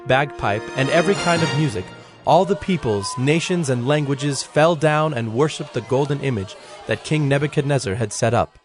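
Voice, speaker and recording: An actor serving as a solemn storyteller, narrating ancient scripture in a measured, formal way. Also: male voice, medium pitch, expressive, natural voice. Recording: music under the voice.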